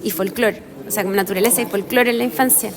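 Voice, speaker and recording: A woman's voice: medium pitch, steady tone, fast talker. A woman with a Chilean accent, speaking as a guest in a casual interview and thinking aloud with frequent fillers and restarts. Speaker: guest illustrator and author. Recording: crowd chatter in the background. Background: crowd chatter.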